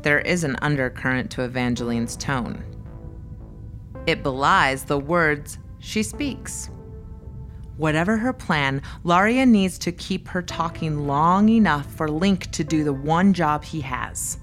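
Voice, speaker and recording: A woman's voice: sits low, measured pace, fairly bright tone, soft background music. A woman giving scripted, dramatic narration for an audio fiction story.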